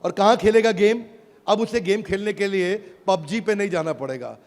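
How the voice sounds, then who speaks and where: High-pitched man hyping up a crowd. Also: moderate pace. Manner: emphatic, hyped, crowd-rousing with call-and-response. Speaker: motivational business speaker launching an app. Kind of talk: energetic stage keynote. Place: large auditorium with a live audience. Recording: reverberant hall, crowd and noise.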